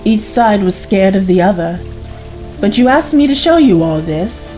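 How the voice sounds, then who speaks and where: Audio drama: reassuring, practical female companion, played by a woman, mellow voice with light music beneath. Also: medium pitch, lively intonation, moderate pace, lightly acted, rough recording. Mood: matter-of-fact. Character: loyal, reassuring, level-headed female companion.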